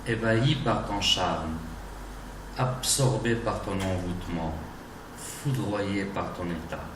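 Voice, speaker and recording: A man giving a dramatic, declamatory recitation of romantic poetry in deliberate phrases. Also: low-pitched. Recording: distant, echoey, noisy recording.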